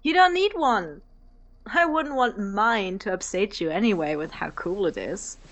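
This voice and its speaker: A woman's voice: medium pitch, animated, a little slow, fairly bright tone, muffled recording. A woman playing a playful, outgoing college student in an audio drama, teasing and coaxing as she talks. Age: young adult. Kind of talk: naturalistic audio drama acting.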